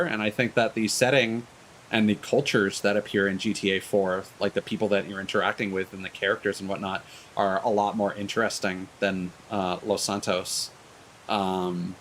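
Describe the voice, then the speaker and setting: A man with a somewhat deep voice talks off the cuff as a game streamer. His delivery is hesitant and discursive, doubling back on phrases. A light background hiss runs under the recording. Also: fairly even intonation, moderate pace, a bit bright, low-quality recording. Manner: hesitant, discursive, stop-and-start phrasing, casual swearing. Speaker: video game streamer, host. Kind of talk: casual rambling chat while streaming a game.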